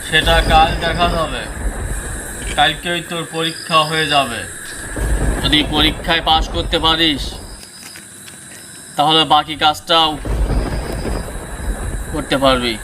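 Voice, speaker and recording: A man's voice: fairly high pitch, fairly even intonation, measured pace, long pauses, close to an everyday voice. A man voicing a stern uncle who cautions and commands in a horror story. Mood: challenging. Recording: clear background noise under the voice.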